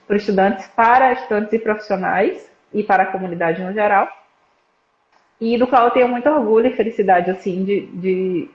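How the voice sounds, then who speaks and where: A young woman from northeastern Brazil, a psychology student, explaining her suicide prevention work in a casual live-stream chat, with frequent "né" tags. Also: mid-range voice. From Petrolina, Pernambuco, Brazil. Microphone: video call. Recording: roomy, dull lo-fi live stream audio.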